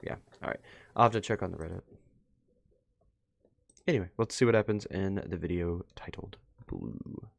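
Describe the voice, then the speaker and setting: A man hosting a reaction video, casually commenting and thinking out loud as he goes. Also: sits low, moderate pace, creaky, pauses often.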